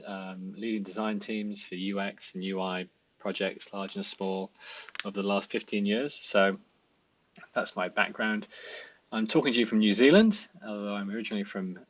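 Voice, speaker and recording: A man's voice: on the low side, no room sound, dull audio. Rambling Welsh man in his thirties. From Wales. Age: 30s. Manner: rambling, many fillers and restarts. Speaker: designer and part-time founder. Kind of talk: casual self-introduction vlog. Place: indoor home room at a desk.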